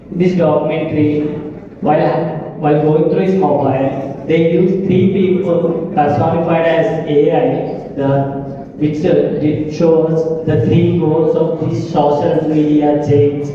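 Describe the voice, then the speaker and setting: A man giving a prepared spoken presentation, explaining deliberately in short, halting phrases. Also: fairly high pitch, warm tone. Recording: very echoey room, far mic, muffled, noisy.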